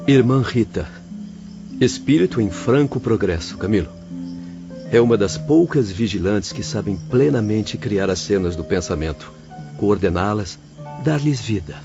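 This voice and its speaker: Low-pitched, earnest spirit mentor acted by a man. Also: lively intonation, measured pace. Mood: admiring. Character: admiring male spirit mentor, earnest and formal. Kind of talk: audio drama acting. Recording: dull muffled audio under music.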